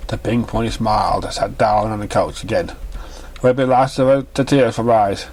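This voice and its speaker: A man's voice: fairly low pitch, steady tone, a little fast, darkish tone. A man narrating a fan fiction story as an amateur reader, stumbling over words in an uneven read. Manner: stumbling, uneven reading with misreadings.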